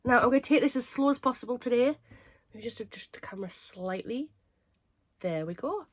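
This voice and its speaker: A young woman from England with a cold, sounding hoarse and stuffy, chatting casually and rambling to camera as a craft channel host. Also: fairly high pitch, lively intonation, fairly quick. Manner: chatty, rambling, self-mocking.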